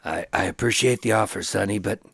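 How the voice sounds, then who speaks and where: An actor playing a determined undercover agent in a radio drama, measured and guarded as he talks and narrates. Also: fairly low pitch, vocal fry, a bit bright, breathy edge, no echo, studio quality, heavily acted. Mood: guarded, matter-of-fact. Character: determined undercover male agent narrating his mob investigation. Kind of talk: radio drama acting and first-person narration.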